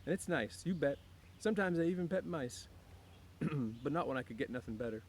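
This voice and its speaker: A man's voice: on the high side, expressive, fairly quick, slight background noise, voice pushed up. A man voicing an earnest, simple-minded, childlike big man with plain rural speech in an audiobook. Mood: earnest, insistent. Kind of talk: audiobook character voice.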